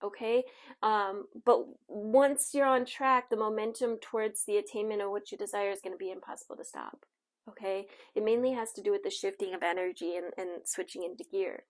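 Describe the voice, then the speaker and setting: A woman hosting a tarot and astrology reading in a casual, conversational way, often checking in with the listener and offering reassurance. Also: medium pitch. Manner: conversational, reassuring asides, frequently checks in with "okay". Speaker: tarot and astrology reader, show host. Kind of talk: casual intuitive oracle card reading.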